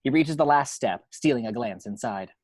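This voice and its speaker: A man's voice: mid-range voice, expressive, moderate pace, no echo, studio quality. A man narrating screenplay action lines at an amateur table read in a matter-of-fact storytelling way.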